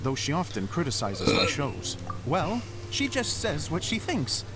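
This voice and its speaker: Eager dreamy stage director. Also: male voice, fairly high pitch, wildly swooping pitch, unhurried. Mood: proud. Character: earnest adult male theater director, dreamy. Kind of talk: game voice acting. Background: game music.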